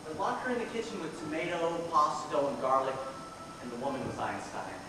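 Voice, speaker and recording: A man's voice: a little high, brisk, natural voice. A man acting the part of an exasperated young grandson in an amateur stage play, with projected, emphatic delivery. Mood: reflective narrating. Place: theater stage, distant recording. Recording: echoey noisy hall, recorded from far off.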